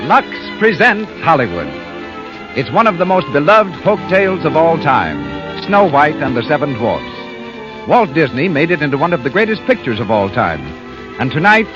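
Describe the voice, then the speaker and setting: A man announcing in a polished, emphatic scripted style on a 1930s radio broadcast. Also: fairly high pitch. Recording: muffled vintage 1930s radio broadcast, music behind.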